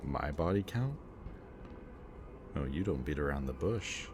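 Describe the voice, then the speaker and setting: A man speaking a gentle, hesitant roleplay monologue to the listener as a soft-spoken villain. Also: very deep voice, animated, unhurried, background noise.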